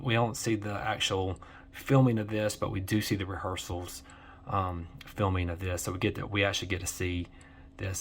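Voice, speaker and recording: Low-voiced man in a rambling video commentary. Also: slight background noise. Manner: halting and rambling, repeats and corrects himself. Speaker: host of a film-fan video series.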